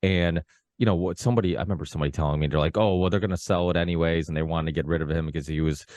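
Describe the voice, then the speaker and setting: A man with a very low voice hosts a podcast and talks in a loose, rambling way. He fills his speech with ums and restarts. The sound is close and dry. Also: steady tone, very fast, a little mellow, no room sound. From Portugal. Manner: rambling, many fillers and restarts. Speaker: podcast host. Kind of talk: casual conversational chat.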